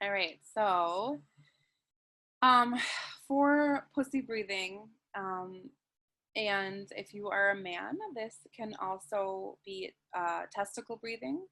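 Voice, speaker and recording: A woman with a mid-range voice speaks softly and gently. Her talk flows loosely, full of "like"s and "um"s. She slows down when she guides listeners through breathing. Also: medium pitch. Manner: soft, flowing, with many "like"s and "um"s. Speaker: guest, sensual wellness teacher. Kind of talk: conversational interview, then guided breathing.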